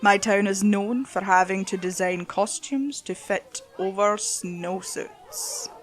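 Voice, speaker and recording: A woman's voice: medium pitch, slow pace. A woman reading a scripted horror story as its narrator.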